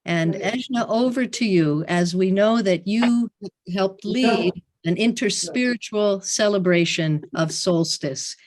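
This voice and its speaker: A woman hosting an online gathering, welcoming guests with slow, gracious, affectionate phrasing. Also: mid-range voice, darkish tone. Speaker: host. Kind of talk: warm formal welcome on video call. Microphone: video call.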